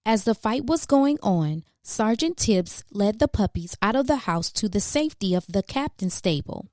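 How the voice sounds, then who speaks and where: Woman, children's book narrator, mellow, lively intonation, dramatic emphasis, dry recording. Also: medium pitch, normal speed, no room sound. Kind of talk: expressive storybook narration.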